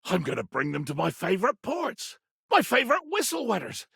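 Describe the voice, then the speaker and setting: An actor playing a blustering, proud pirate captain in a video game, with a gravelly, raspy voice and pompous, old-fashioned seafaring speech. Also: male voice, high-pitched, wildly swooping pitch, brisk, fairly bright tone, no echo, clean studio sound, full character voice. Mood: rousing, wistful. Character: blustering, theatrical pirate captain, bearded, proud, adventure-hungry. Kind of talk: video game character acting.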